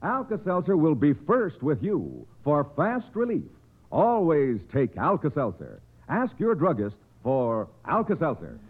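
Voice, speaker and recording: A man's voice: on the high side, huge pitch swings, unhurried, breathy edge. An American man announcing a 1940s radio commercial in a persuasive, emphatic sales style. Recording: lo-fi vintage radio broadcast recording.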